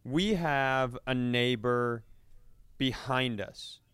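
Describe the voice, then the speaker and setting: A man's voice: mid-range voice, very slow, fairly bright tone, clear, resonant tone, no room sound. A man telling stories casually and comically as a podcast co-host, indignant and sweary, acting out the exchanges he quotes. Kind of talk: casual comedic storytelling.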